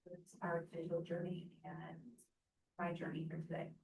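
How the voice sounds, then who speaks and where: A woman presenting at an event, speaking at an unhurried pace with some fillers. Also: fairly low pitch, completely flat delivery, vocal fry, darkish tone. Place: event room. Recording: roomy, distant mic with faint noise.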